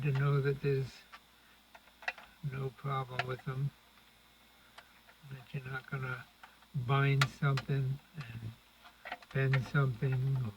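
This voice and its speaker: A man giving casual, unscripted commentary as he demonstrates a hands-on repair, murmuring through each step as he works. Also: mid-range voice. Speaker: host of a radio restoration channel. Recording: dull lo-fi audio with loud noise, clicks.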